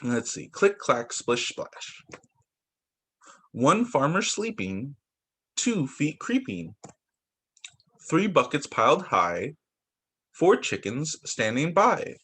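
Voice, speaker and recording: A young man who teaches young children, reading picture books aloud in a sing-song, expressive way over a video call. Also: fairly high pitch, slow pace, long pauses, husky. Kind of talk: read-aloud story time for young children.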